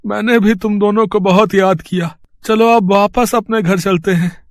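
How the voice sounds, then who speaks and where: A humble poor village vendor played by a man in a storybook drama. The voice is high for a man and dry, with an earnest, hesitant, polite manner. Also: steady tone, normal speed, no room sound. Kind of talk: acted storybook dialogue.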